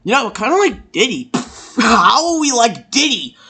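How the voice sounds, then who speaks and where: A man performing a crude, profane, improvised comic anime fandub with halting delivery. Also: very high-pitched, sing-song, sharp tone, breathy edge. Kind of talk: comedic improvised anime parody dub.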